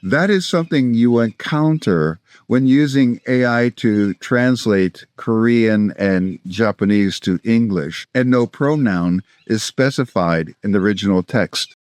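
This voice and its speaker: A man in his sixties from South Florida with an American accent, presenting scripted language-learning instruction in a measured, explanatory way. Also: lowish voice, a little mellow, no room sound. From South Florida, United States. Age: 60s. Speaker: language-learning video host, polyglot. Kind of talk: scripted instructional narration to camera.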